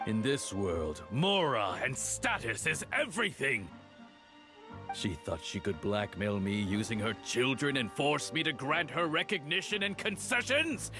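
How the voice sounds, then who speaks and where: An actor playing a smug, cruel aristocratic baron in a video game, mocking his foes in a theatrical, drawn-out way. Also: medium pitch, very animated, music in the background. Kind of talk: dramatic video game acting.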